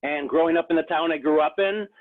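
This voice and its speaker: A real estate agent from the Rochester, New York area, talking earnestly in a casual interview, with many restarts. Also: male voice, a little high, quick pace. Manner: earnest, frequent restarts and fillers. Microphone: phone. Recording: tinny phone line.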